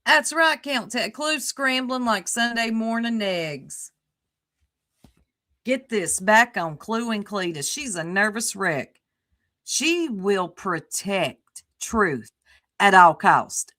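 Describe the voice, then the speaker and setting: A woman's voice: medium pitch, lively intonation, slow, pushed and bright. A woman co-hosting a livestream, blunt and sarcastic as she comments and reads chat aloud. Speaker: livestream co-host.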